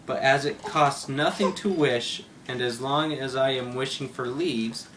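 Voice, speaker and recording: A man's voice: mid-range voice, unhurried, fairly bright tone, close to an everyday voice. A man voicing a wistful, vain little pine tree who muses plaintively to himself in a storybook. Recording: very noisy home recording with clicks.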